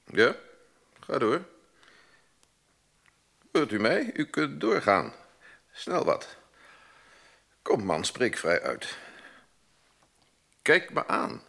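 An actor in a radio drama playing a stern, proud older aristocratic father, gravelly and commanding in short clipped sentences. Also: medium pitch, huge pitch swings, extremely slow, long pauses, fairly bright tone, exaggerated voice. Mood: commanding, impatient. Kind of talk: Dutch radio drama acting.

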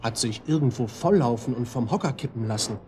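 Radio drama role: rambling male psychiatric patient turned informant , played by an actor, colloquial, halting, medium pitch, noisy ambience. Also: darkish tone, natural voice. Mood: confiding, suspicious. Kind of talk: German radio drama acting.

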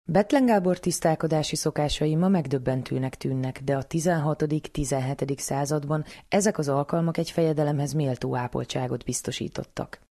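A woman's voice: sits low, mellow. A woman presenting a radio programme, reading scripted narration.

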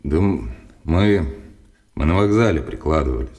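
A man playing a blunt, grudging low-ranking Soviet soldier in a wartime radio play, talking in a folksy, colloquial way. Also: expressive, moderate pace, noisy background.

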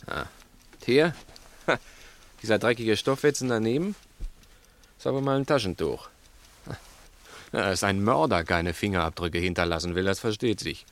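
An actor in a 1960s German radio play playing a cunning, domineering crook who is menacing, insistent and patronising. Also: male voice, fairly low pitch, lively intonation, moderate pace, pauses often. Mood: agitated, mocking. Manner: insistent, theatrical, probing with rhetorical questions.

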